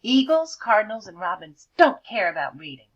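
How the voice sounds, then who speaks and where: A woman teacher reading a picture book aloud to children, expressively, stretching words and dropping into hushed explanatory asides. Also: medium pitch, very animated, moderate pace, highs cut off. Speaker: elementary school teacher.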